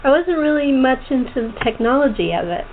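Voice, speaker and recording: A woman's voice: high-pitched, expressive, a little fast. Chatty woman on a tinny phone line. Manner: chatty, giggly, rambling. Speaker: talk show host. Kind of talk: casual interview chat. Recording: tinny phone line with clear background noise.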